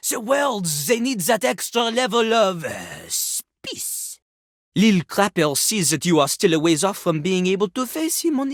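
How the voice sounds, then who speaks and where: A boastful French male poet-rapper from a video game. The French accent is thick with haughty drama, and the pitch leaps about expressively. The sound is dry and close, with every pompous flourish delivered theatrically. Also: a bit bright, no echo, heavily acted. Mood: critical, hesitant. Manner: haughty, dramatic, speaks of himself in third person.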